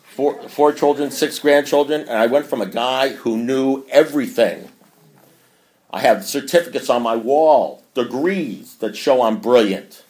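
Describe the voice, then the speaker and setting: A man's voice: medium pitch, a little slow, darkish tone. A man in his sixties speaking at a recovery meeting, preachy and rambling, often mocking himself. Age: 60s. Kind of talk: meeting talk. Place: meeting room. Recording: echoey room.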